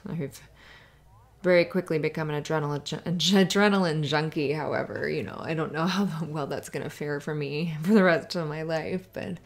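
A woman playing a sweet, flustered young cheerleader in an ASMR roleplay, halting and stammering as she hides that she is upset. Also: deep voice, fairly even intonation. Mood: confiding. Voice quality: creaky, often dropping to breathy whispers. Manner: halting, stammering, nervous little laughs. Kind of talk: ASMR roleplay, spoken to the listener.

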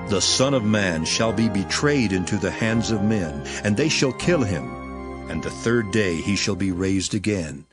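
An actor playing an earnest, authoritative teacher with solemn, declamatory delivery in a dramatized Bible reading. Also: male voice, on the low side, expressive, a little slow. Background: music.

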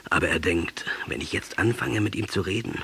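An actor playing a proud, defiant middle-aged guerrilla commander in captivity, wry and commanding, in a radio drama. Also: male voice, low-pitched, a little fast, vocal fry, pushed and bright, a little hiss or hum, heavily acted. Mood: low, musing.

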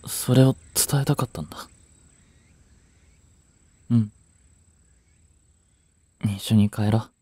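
A man's voice: fairly low pitch, takes it slowly, pauses often, dark tone, slightly rough, dry recording. A young man acting a curt, flustered boyfriend who hides his affection, with a hesitant, brusque delivery in a romance audio drama. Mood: tender, earnest. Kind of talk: Japanese romance audio drama aimed at women.

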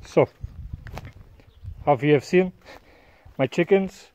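A man in his thirties gives an unhurried walk-and-talk tour of his farm, filming himself on a handheld phone. He talks in plain, non-native phrasing and chuckles often. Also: on the high side, darkish tone, clean tone. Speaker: farmer vlogger. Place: outdoors on a hillside farm and inside a bird shed. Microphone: phone camera at arm's length.

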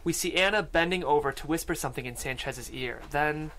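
A man's voice: fairly high pitch, brisk, pushed and bright, rough recording. A man reading stage directions as narrator at a scripted table read, on a close studio microphone. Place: small podcast studio.

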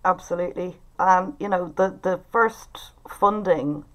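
Low-voiced Irish woman hosting. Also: unhurried. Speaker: writers' group facilitator and event host. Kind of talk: informal hosting of an online group discussion. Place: indoors at home. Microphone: video call.

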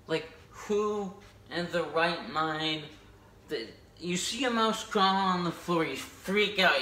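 A man's voice: fairly high pitch, pushed and bright, recorded from a distance, slight background noise. Rambling young man joking in a kitchen. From United States. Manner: rambling, chatty, stumbles over words. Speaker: comedy vlogger. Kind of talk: casual stand-up jokes to camera. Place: home kitchen, indoors. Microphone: phone camera a few steps away. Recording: echoey kitchen.

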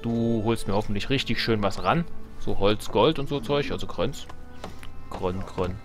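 Low-voiced man doing casual game commentary. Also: unhurried, over music. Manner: jokey, slangy, talking to himself and to game units. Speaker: let's player.

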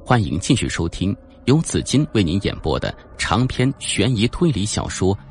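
A man's voice: fairly low pitch, very animated, moderate pace. A man narrating a suspense audiobook in a dramatic, scripted storytelling style. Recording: faint background music.

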